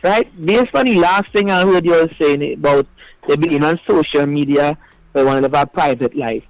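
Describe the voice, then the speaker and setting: A man with a Trinidadian accent and a loud, big voice, talking emphatically in a casual radio chat as co-host. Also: on the high side, moderate pace, no room sound, rough recording. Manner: emphatic, insistent.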